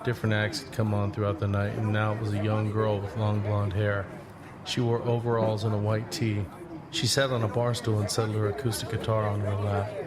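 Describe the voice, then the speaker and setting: A man's voice: fairly low pitch, level tone, fairly quick, over a noisy crowd, close to an everyday voice. An actor playing a reflective young traveler, narrating his own story in a scripted audio drama. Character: reflective young male traveler back from a long walk. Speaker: narrator and lead character.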